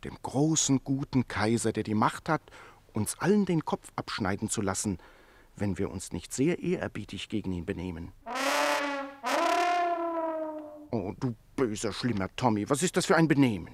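A man's voice: lowish voice, big pitch swings, moderate pace, a little mellow, no echo. An actor playing a meek Greek tailor in a radio play, who coaxes animals like babies and speaks deferentially to his betters. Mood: soft coaxing. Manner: coaxing baby talk to animals, deferential to his lord. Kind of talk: theatrical radio play acting.

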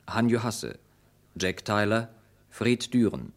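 A man's voice: fairly low pitch, sing-song, slow pace. A man working as a radio announcer, formally reading the cast credits of a play.

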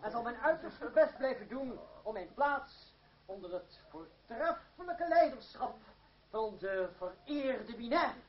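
An actor playing a smooth, scheming stage rogue with theatrical, flowery delivery in a radio drama. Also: male voice, very high voice, expressive, slow, full character voice. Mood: flowery, self-assured. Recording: muffled, echoey old radio play recording, crowd noise.

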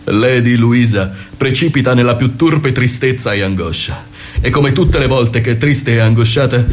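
A man tells a comic story for radio theatre. His voice sits at a medium pitch, and he delivers the scripted narration with mock solemnity. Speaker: narrator and performer.

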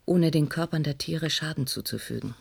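Tired shaken woman scientist, breathy husky voice, hesitant, earnest, radio drama. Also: deep voice, normal speed, a little mellow, exaggerated voice. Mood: subdued, explanatory. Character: weary, shaken female researcher recounting a disaster. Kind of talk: German radio play acting.

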